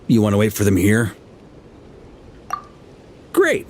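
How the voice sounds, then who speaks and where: Animated, confident knight commander. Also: male voice, lowish voice, slow, a little hiss or hum, heavily acted. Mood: friendly. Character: confident, easygoing male knight commander briefing allies. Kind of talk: video game voice acting.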